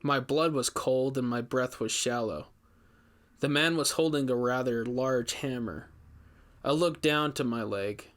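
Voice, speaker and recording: A man narrates a horror story in the first person. His voice sits at a middle pitch. He delivers it as scripted storytelling. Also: measured pace, fairly bright tone.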